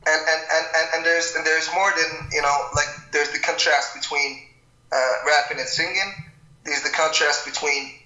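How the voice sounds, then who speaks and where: Bright-voiced young man from Morocco. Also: fairly high pitch, fairly even intonation, reverberant, faint music behind, rough recording. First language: Arabic. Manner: halting, many repeated words. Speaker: rapper guest. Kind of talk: casual interview.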